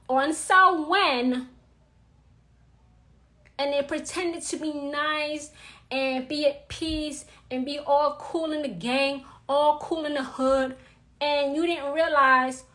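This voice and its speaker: Female witchcraft teacher, New York accent, raspy edge, blunt, repetitive delivery, high voice, unhurried. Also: a bit bright. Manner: blunt, emphatic, repeats her points. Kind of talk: blunt livestream talk.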